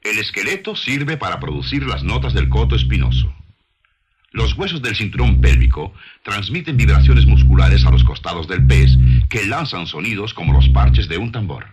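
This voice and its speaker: Low-voiced man narrating with lively intonation. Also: normal speed, lo-fi sound. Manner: didactic, rhetorical questions to the listener. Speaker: documentary narrator. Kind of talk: scripted nature documentary narration.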